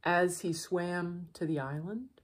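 A woman reading a children's bedtime story in a deliberate, expressive way with dramatic pauses. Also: on the low side. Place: living room with brick fireplace, indoors.

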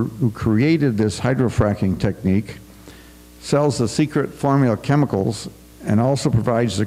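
A man's voice: on the low side, warm tone. Older man reading a talk in a reverberant hall. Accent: American. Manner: reads from paper, with restarts and asides. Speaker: farm forum speaker. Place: school auditorium, at a podium. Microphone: podium mic on a stand. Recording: reverberant auditorium.

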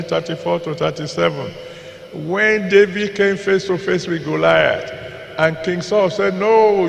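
Older nigerian pastor, slow gravelly voice, handheld mic, big echoey auditorium. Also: male voice, high-pitched. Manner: slow and deliberate, repeats scripture references, decrees blessings. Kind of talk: sermon. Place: large packed auditorium. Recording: echoey hall with long reverb.

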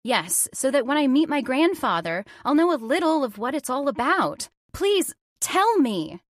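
A woman's voice: sits high, very animated, moderate pace, dry recording, clean studio sound. A woman playing an anxious, insistent young woman in an old-time radio horror drama, pleading and questioning dramatically. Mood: frustrated, pleading.

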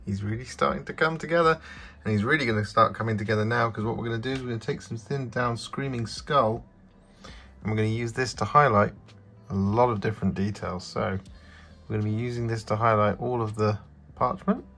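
A man's voice: lowish voice, steady tone, fairly quick, a bit bright. A man giving an instructional voice-over as the host of a painting tutorial. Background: background music.

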